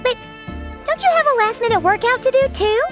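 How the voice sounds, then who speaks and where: A woman voicing a tiny, excitable fairy companion who talks about herself in the third person, in video game dialogue. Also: very high-pitched, fairly quick. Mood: chirpy. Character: tiny floating fairy companion, chirpy, excitable, self-centered. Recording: game soundtrack music behind.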